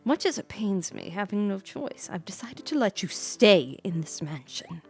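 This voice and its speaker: A woman's voice: medium pitch, sing-song, normal speed, loud music behind the voice. A woman voicing a stern, reluctant lord of a mansion in a game, formal and curt.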